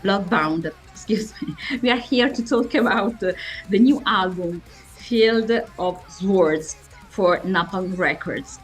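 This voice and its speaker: Female interviewer, medium pitch, unhurried and halting as she reads out questions. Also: faint music behind, poor audio. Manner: hesitant, stumbling delivery with searching pauses.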